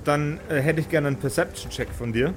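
A man, narrating a tabletop role-playing game as game master, often halting and repeating words as he improvises. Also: fairly high pitch, a little hiss or hum.

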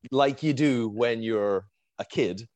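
A man with a Scottish accent, casually telling a lively story as a guest and laughing and swearing along the way. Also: mid-range voice, slow, no room sound, muffled recording. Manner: animated, swears, laughs while telling, acts out quotes.

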